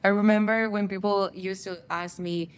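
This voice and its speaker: A young woman from El Salvador, talking as a guest on a studio interview couch. Her delivery is upbeat and chatty, full of "like" and "you know". Her voice sits at an ordinary, middle pitch for a woman. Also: fairly even intonation, normal speed, smooth. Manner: upbeat, chatty, frequent "like" fillers. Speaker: guest, chemical engineer and content creator. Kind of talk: casual interview chat. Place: TV studio set, seated on couch.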